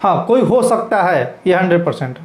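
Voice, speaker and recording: A man's voice: high voice, very animated, normal speed. A man with a Bhojpuri-region Hindi accent giving informal, emphatic news commentary as a channel host, repeating his points as he explains. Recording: echoey, roomy sound.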